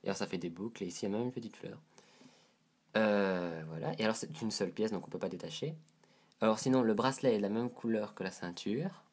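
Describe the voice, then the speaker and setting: A man's voice: on the low side, quick pace, a little mellow. A man with a head cold and a stuffy nose, reviewing a doll to camera in a casual, hesitant way, describing details as he handles it.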